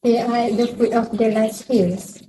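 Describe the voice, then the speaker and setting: A woman's voice: a little high, fairly even intonation, measured pace, mellow, slight background noise, natural voice, poor audio. A woman playing an anxious young granddaughter bringing grave news in a stage play. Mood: urgent. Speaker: student actor.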